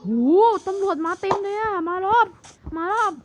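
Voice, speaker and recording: Cartoon big brother, hyper and bossy, high chipmunk pitch, voiced by a man, noisy track. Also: normal speed. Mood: excited. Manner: excited, shouting warnings. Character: excitable big-brother gamer, bossy and brave. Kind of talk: cartoon narration. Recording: noisy recording.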